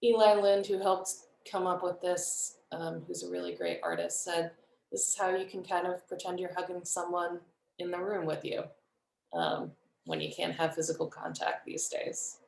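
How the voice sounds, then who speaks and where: Woman group facilitator, slightly low voice, soft informal manner, echoing room on an online call. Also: expressive, moderate pace, gravelly. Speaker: production team member leading a closing ritual. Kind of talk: casual guided closing exercise. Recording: roomy, echoing video call.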